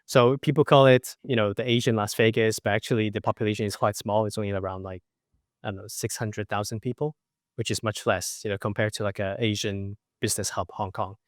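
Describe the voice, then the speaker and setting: A man in his twenties from Macau, a podcast guest telling his story in a relaxed way with lots of fillers. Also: on the low side, a little fast, no room sound, studio quality. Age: 20s. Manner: relaxed, many fillers like you know. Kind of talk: casual interview.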